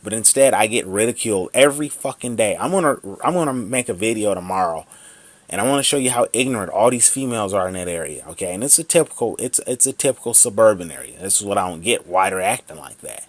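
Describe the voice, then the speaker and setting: A man in his thirties vents into his camera in a heated, profane monologue, circling back to the same points again and again. His voice sits fairly low, and the recording is dry with almost no room sound. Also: lively intonation, quick pace, no room sound. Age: 30s. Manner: angry, profane, repetitive ranting. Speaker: vlogger. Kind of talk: ranting vlog monologue.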